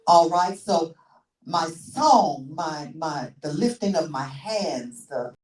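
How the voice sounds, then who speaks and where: A woman in her sixties delivers a teaching sermon, often restarting and repeating her words as she works through a point. Her voice sits low for a woman. She is heard from some distance in a reverberant church sanctuary. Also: lively intonation, takes it slowly, a little mellow. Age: 60s. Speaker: pastor. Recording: echoey church room, mic far off.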